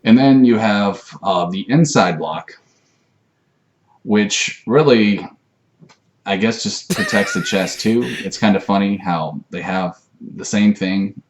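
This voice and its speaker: Male, very low register. Amateur tutorial host, unscripted, hesitant and self-effacing. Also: takes it slowly, a little hiss or hum. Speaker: amateur martial arts video maker. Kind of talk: rambling informal tutorial to camera. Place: messy room at home.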